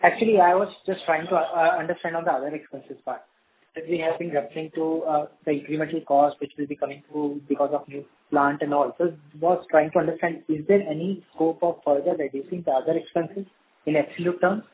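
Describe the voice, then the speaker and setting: A man's voice: a little high, steady tone, a little fast. A man politely putting questions as an analyst on an earnings call. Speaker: financial analyst asking questions. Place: phone call. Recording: thin phone line with clear noise.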